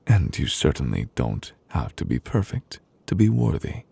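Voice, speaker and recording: A man playing a solemn, devoted fantasy judge in an intimate ASMR roleplay, speaking gently and deliberately in a husky voice, comforting his beloved. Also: extremely low pitch, big pitch swings, no room sound, heavily acted. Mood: gentle, reassuring. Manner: slow, deliberate, gently reassuring with pauses. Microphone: close, dry studio microphone.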